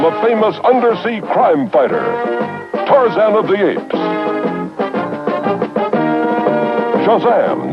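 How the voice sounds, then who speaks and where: A man doing a dramatic, punchy voice-over for a 1970s toy commercial. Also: high voice. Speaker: commercial announcer. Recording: dull vintage TV ad, loud music. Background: loud brassy music.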